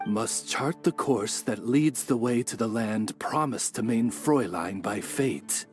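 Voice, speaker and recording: Very deep, courtly raven servant. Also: female voice, lively intonation, a little slow, faint music behind. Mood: solemn. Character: formal, courtly talking raven servant, solemn interpreter. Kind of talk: video game voice acting.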